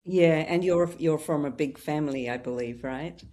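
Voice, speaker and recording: A woman's voice: deep voice, moderate pace, warm tone. A woman in her fifties hosting a podcast, reading a welcoming intro and then asking interview questions in a conversational way. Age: 50s. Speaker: podcast host.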